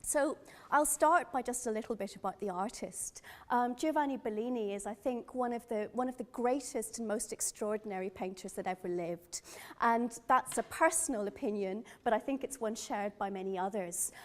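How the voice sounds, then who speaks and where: Middle-aged Northern Irish woman, slightly high voice, curator giving a lively personal gallery talk. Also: moderate pace. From Northern Ireland. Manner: earnest, enthusiastic, personal. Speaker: museum curator. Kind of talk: gallery lecture. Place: gallery or lecture room.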